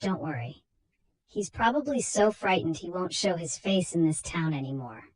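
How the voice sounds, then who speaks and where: Woman playing a husky, easygoing husband. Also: fairly low pitch, lively intonation, moderate pace, darkish tone, low-quality recording. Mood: soothing. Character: reassuring, easygoing show-business husband.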